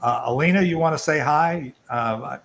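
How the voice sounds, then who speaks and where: A man's voice: on the high side, moderate pace, creaky, fairly bright tone, a little hiss or hum. An older man hosting an online networking event, talking informally and rambling a little as he presents and takes questions. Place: online video call.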